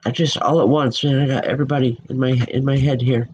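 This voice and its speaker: A woman's voice: extremely low pitch, fairly even intonation, quick pace, a little mellow, breathy edge, lo-fi sound. A woman from the Northeastern US giving a live-streamed spiritual reading as a psychic medium. She has a nasal head cold and talks in a chatty, affectionate, rambling way with many fillers.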